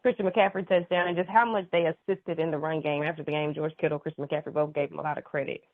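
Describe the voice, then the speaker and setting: A woman's voice: deep voice, fairly even intonation, quick pace, dry recording. A woman working as a sports reporter, asking an unscripted question on a press call. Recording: dry, narrow telephone line.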